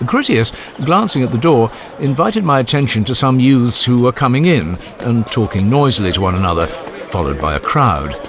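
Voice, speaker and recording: A man narrating a classic literary dialogue as a dramatized audiobook, in a measured, expressive storytelling manner. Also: medium pitch, a crowd in the background. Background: faint ambient background sound.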